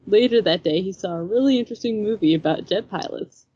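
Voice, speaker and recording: Lady reading fanfic on a podcast, medium pitch, breathy rough voice, bit lo-fi. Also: fast talker, warm tone. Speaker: podcast co-host reading fan fiction. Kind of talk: casual story reading.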